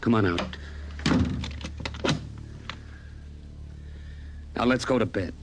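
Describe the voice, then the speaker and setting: A man's voice: lowish voice, quick pace, noisy background, close to an everyday voice. A man playing a stern, worried middle-aged American father, firm and emphatic, in 1950s radio drama style. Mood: uneasy. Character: stern, worried father unsettled by his automated house. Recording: old radio recording with music bed.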